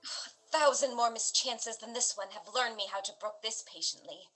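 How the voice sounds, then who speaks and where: A woman's voice: fairly high pitch, normal speed, pushed and bright, faint music behind, rough recording. A woman playing a devoted, defiant captive noblewoman in dramatic lament. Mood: resigned.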